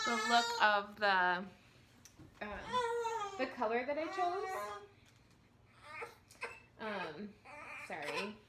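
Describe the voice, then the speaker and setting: A woman in her thirties hosting a knitting podcast from home, chatting casually with many ums and small laughs, her voice hoarse from a cold. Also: on the high side, noisy background. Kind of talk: casual chat to camera. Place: at home, indoors.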